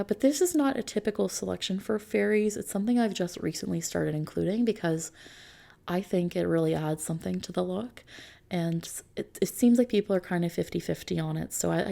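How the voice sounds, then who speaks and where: A woman doing an ASMR roleplay as a customization consultant, talking softly and unhurriedly to a customer in a gently explanatory, reassuring way. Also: lowish voice, vocal fry. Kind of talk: ASMR roleplay, soft customer-service talk.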